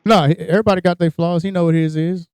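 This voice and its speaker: A man's voice: sits high, fast, smooth, no echo. A man co-hosting a podcast, telling crude, joking stories in a casual chat. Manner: crude, joking, animated storytelling. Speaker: podcast co-host.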